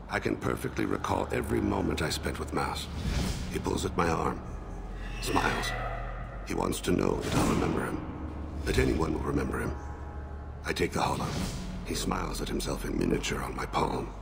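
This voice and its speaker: An actor voicing a solemn male alien assassin in a video game, with measured, formal and poetic diction. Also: rumbling low, creaky, loud music behind the voice, exaggerated voice. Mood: wistful, haunted. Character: soft-spoken, solemn male alien assassin, remorseful father.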